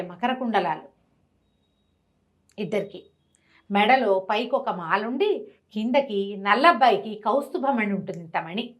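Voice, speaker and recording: A woman telling a devotional story in lively, conversational Telugu speech, often repeating words and restarting. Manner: lively, rises and drops in pitch and volume.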